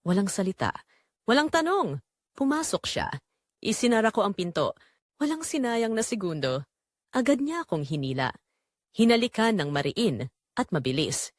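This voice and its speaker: A woman's voice: mid-range voice, big pitch swings, moderate pace, long pauses, dry recording, studio quality. A woman with a Filipino accent reading a first-person romance drama as its narrator, in a scripted dramatic storytelling style.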